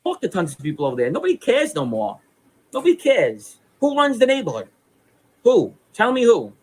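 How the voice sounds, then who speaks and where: A man's voice: high voice, wildly swooping pitch, brisk, darkish tone, breathy edge. A man, delivering a defiant, boastful, profane monologue. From New York City. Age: 30s. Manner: blunt, boastful, insistent, profane. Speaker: former mobster making a video statement. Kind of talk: defiant personal monologue to camera.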